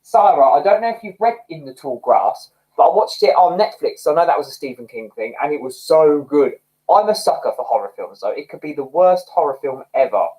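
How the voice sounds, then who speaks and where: Man, livestream host, chatty, self-mocking, laughs a lot, very lively pitch. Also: brisk, rough recording. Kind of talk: casual chat reading viewer comments. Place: home, indoors.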